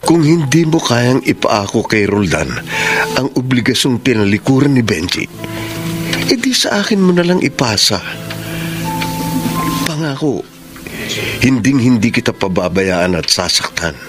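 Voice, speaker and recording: Male actor as ardent young Filipino suitor, radio drama, lively melodic range over clear background music. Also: mid-range voice, measured pace, pauses often, close to an everyday voice. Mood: ardent, resolute.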